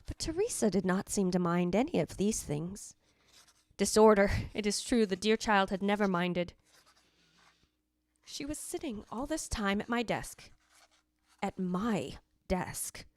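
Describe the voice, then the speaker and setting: A woman playing the wistful, fastidious ghost of a dead wife in a measured, formal radio drama monologue. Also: mid-range voice, lively intonation, no echo, close to an everyday voice. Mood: quietly reproachful.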